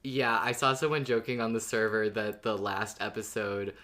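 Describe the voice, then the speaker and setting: Casting: male podcast host, lower-pitched voice, casual conversational recap style. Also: flat delivery, moderate pace, sharp tone.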